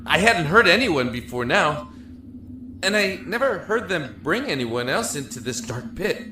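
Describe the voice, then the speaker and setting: An actor playing a lonely, emotional young man in a prison cell in an audio drama, talkative and halting. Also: a little high, noisy background. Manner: halting, rambling, effusive. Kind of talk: audio drama acting with first-person narration. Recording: echoey room with music behind.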